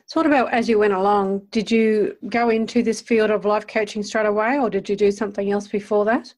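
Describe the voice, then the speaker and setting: A woman's voice: medium pitch, fairly even intonation, brisk, a little mellow. A woman hosting an interview podcast, introducing her guest and putting questions to them.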